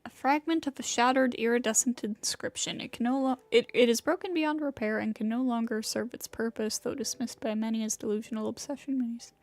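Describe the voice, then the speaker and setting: A woman's voice: on the high side, fairly even intonation, a little fast, hardly any pauses, dry recording. A woman doing casual gameplay commentary as a livestreamer, reacting aloud and talking to herself. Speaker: game livestreamer. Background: video game music.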